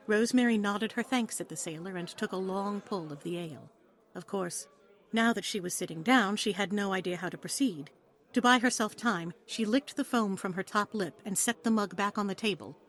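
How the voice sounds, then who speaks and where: Female narrator for a dramatised audiobook, mid-pitched, scripted storytelling delivery. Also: moderate pace. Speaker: narrator, author reading.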